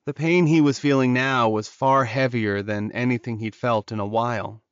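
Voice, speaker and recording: Dry, mid-pitched male audiobook narrator. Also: steady tone, normal speed, clean tone, no room sound, dull audio. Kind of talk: dramatic scripted narration of romance fiction.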